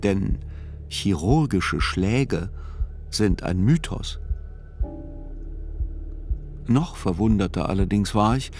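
Low-voiced man narrating over soft music. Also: lively intonation, takes it slowly, pauses often. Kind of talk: scripted first-person literary narration for a radio play.

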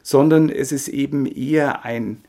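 A male researcher talks spontaneously in an interview, searching for words as he explains. His voice is soft-edged and gravelly, at a slow, halting pace. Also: mid-range voice, steady tone. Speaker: literature researcher and translator, interviewee.

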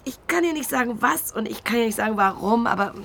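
Gruff, world-weary shopkeeper woman. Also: fairly high pitch, lively intonation, fast talker, fairly bright tone, slight background noise. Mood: weary, grumbling. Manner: blunt, colloquial, grumbling. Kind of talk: German radio crime drama acting.

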